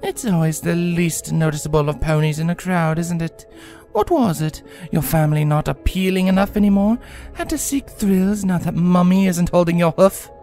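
A man voicing a regal, scheming female pony tyrant who talks slowly and mockingly in a purring voice. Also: high voice, darkish tone, faint music behind, pitched above the performer's own voice. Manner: slow, purring, contemptuous.

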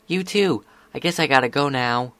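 A man's voice: medium pitch, moderate pace, fairly bright tone, no room sound, close to an everyday voice. Man as a cheerful young unicorn filly. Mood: sheepish.

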